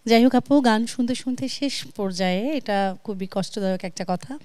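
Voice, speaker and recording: A woman's voice: mid-range voice, normal speed, a little mellow, dry recording. A woman with a Bangladeshi accent hosting a music talk show as its television presenter, recorded in a studio. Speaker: television host.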